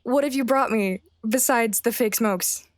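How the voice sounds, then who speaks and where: A woman's voice: on the high side, measured pace, no echo, studio quality, close to an everyday voice. A woman playing the wry, teasing ghost of a young mother in a radio play, speaking in a motherly way.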